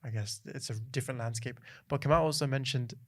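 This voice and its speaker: A man from England hosting a podcast, asking questions politely and measured, with Islamic phrases. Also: medium pitch, no echo, studio quality. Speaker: podcast host. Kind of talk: casual interview chat.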